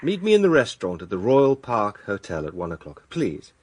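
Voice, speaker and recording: A man's voice: on the low side, huge pitch swings, brisk, no room sound. A man acting in a radio drama as a gallant, proud barrister with a polished, assertive manner. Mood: charming, eager. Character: confident, gallant bachelor barrister, impulsive and proud.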